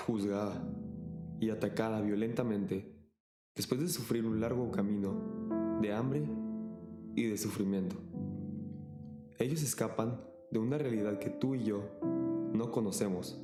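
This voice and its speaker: A man with a fairly low voice delivers scripted video narration. Loud guitar music plays behind him and the sound is roomy. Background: loud plucked guitar music.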